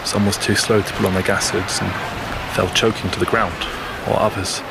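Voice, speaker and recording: Grim low-voiced veteran soldier, loud battle din. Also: male voice, little pitch movement, a little fast, gravelly. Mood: grim, weary. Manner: colloquial, unschooled grammar. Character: seasoned working-class British WWI soldier, grim and steadfast. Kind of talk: audio drama monologue. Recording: loud battlefield noise over the voice. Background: loud outdoor war ambience.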